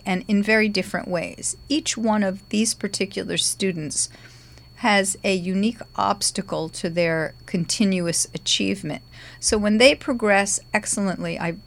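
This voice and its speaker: A middle-aged woman with a gravelly voice, hosting a guitar lesson podcast in a chatty, warm-hearted way with frequent ums. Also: mid-range voice. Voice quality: gravelly today. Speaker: guitar teacher and podcast host. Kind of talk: casual podcast talk. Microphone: studio microphone.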